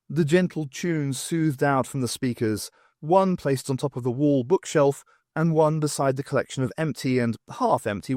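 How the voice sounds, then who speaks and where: A man's voice: a little high, a little mellow, no room sound, studio quality. A man reading a novel aloud as scripted audiobook narration.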